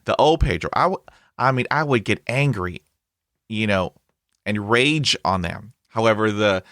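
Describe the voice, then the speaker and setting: A man's voice: lowish voice, lively intonation, moderate pace, fairly bright tone, no echo, studio quality. A man hosting a podcast interview, stuttering heavily with blocks and repeated sounds, upbeat and chatty with lots of fillers. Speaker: podcast host. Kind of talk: conversational interview.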